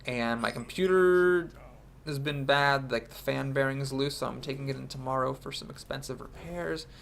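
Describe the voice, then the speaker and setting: A man's voice: mid-range voice, normal speed, creaky, a bit bright, slight background noise. A young man with a congested voice from a cold, thinking aloud as he commentates his own card game. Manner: analytical, thinks aloud about plays. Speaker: game streamer.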